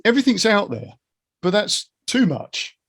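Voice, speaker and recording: A man's voice: high voice, big pitch swings, unhurried, a bit bright. A middle-aged man hosting a casual chat, musing informally and circling round his ideas. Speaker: interviewer, guitar teacher.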